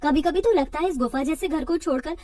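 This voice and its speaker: A woman voicing a poor, weary young village daughter-in-law in a melodramatic, plaintive way for an animated moral story. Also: sits high, brisk, no echo, natural voice.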